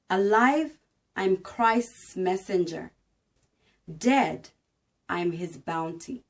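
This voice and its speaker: Slow, earnest woman giving a devotional. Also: medium pitch, a little mellow. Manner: earnest, emphatic, exhorting. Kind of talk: devotional talk and dramatic scripture narration.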